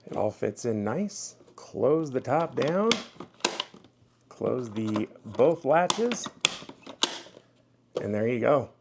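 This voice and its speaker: Low, creaky-voiced man reviewing products. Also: noisy background. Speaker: product reviewer, channel host. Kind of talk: casual hands-on product review.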